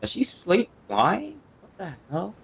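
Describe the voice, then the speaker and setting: A man's voice: high voice, huge pitch swings, unhurried, vocal fry, heavy background noise. A man giving casual, playful live commentary on a game in short offhand remarks. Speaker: streamer reacting to a game.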